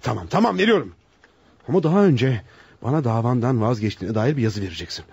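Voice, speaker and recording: An actor playing an indignant, wounded psychologist in a radio play, speaking with scornful emphasis and formal diction. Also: male voice, medium pitch, expressive, fast talker, gravelly, darkish tone, slightly rough, full character voice. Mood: bitter, scornful. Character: indignant, wounded male psychologist, educated and lovestruck. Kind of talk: Turkish radio theater acting. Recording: dull lo-fi radio recording.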